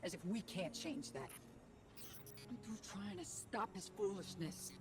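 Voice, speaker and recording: An actress playing a jaded, plain-spoken crew operator in a video game, with a blunt, colloquial manner. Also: female voice, medium pitch, measured pace, over music, lightly acted. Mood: bitter. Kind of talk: video game mission dialogue. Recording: clear background noise and ambience.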